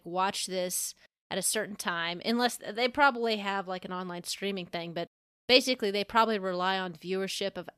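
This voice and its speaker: A woman's voice: medium pitch, a bit bright, clear, resonant tone, dry recording, studio quality. A woman co-hosting a podcast, discussing things in a casual, opinionated and informal way. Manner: informal, frequent swearing. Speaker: podcast co-host.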